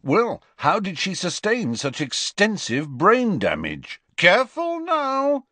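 A man's voice: medium pitch, huge pitch swings, measured pace, a bit bright, no echo, pitched above the performer's own voice, full character voice. A man playing a mocking, mischievous older-teen twin brother in a storybook, teasing in a mock-grand drawl.

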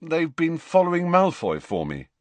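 Earnest, decisive teenage hero read by a man, lightly raised pitch. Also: huge pitch swings, dry recording. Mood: urgent. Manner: quick, decisive.

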